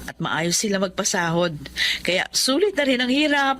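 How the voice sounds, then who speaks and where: A woman's voice: medium pitch, very animated, moderate pace, a bit bright, a little hiss or hum. An actress playing a caring, tender middle-aged mother in a Filipino radio drama. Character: caring, hardworking mother working abroad.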